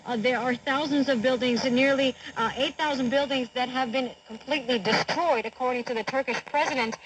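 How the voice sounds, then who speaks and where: Woman, high voice, field correspondent, live outdoor news report. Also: fairly even intonation, brisk, poor audio. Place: outdoors in a city centre.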